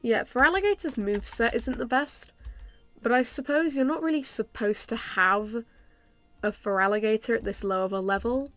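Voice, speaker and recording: A young woman with a stuffy, congested voice chats through a video game, her pitch sitting a touch high. She thinks aloud, hesitating with ums and small laughs. Soft game music plays faintly under her. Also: moderate pace, dry recording. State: stuffy from a cold. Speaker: gaming YouTuber. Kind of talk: casual game commentary.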